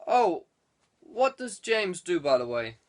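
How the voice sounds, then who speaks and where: A man's voice: high voice, very animated, slow, heavily acted. Man reading as a politely probing male detective. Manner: polite, casually inquisitive questioning. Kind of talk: audiobook character dialogue.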